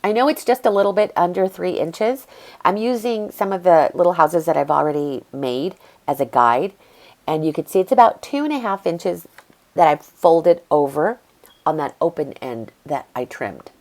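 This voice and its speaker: Woman, low-pitched, congested, middle-aged, chatty, step-by-step craft tutorial. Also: animated, moderate pace, a little mellow. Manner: chatty, easygoing, explains as she works. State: congested from allergies. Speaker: craft video host.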